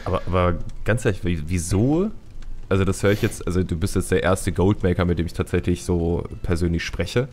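Deep-voiced man hosting a podcast. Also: brisk, darkish tone, a little hiss or hum. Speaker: podcast host. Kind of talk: casual chat.

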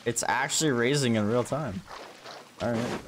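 A man's voice: mid-range voice, normal speed, noisy background. A man giving casual, sweary, wisecracking livestream commentary as he plays a video game. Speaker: streamer playing a video game. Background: game music.

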